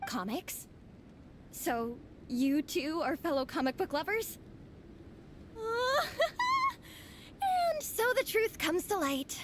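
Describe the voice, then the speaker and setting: A woman playing a fervent, overdramatic young student and comic-book fangirl in an animated game. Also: high-pitched, takes it slowly, long pauses, background noise. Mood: grandiose. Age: young adult. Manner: theatrical, overdramatic. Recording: music behind.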